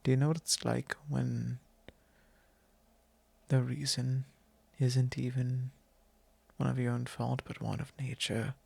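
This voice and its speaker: A man playing a courtly, fond vampire lord in an intimate ASMR roleplay, speaking formally and unhurriedly with pauses. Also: mid-range voice, little pitch movement, gravelly, a little mellow, breathy edge, dry recording, full character voice. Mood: reflective, melancholy. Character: courtly possessive male vampire lord, long-lived, fond and wistful. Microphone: close microphone, dry.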